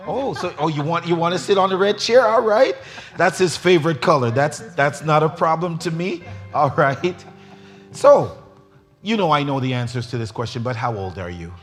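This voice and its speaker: A man's voice: a little high, animated, moderate pace, long reverb tail, faint music behind. A middle-aged man hosting a faith talk show, joking and laughing as he welcomes his guest. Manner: jovial, laughing, warm teasing of his guest.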